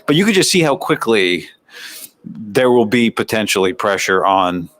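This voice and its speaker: A man's voice: fairly low pitch, very animated, normal speed, fairly bright tone. A man with an American accent giving conversational, analytical sports commentary as a show host, often posing rhetorical questions and asides. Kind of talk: sports commentary, practice recap.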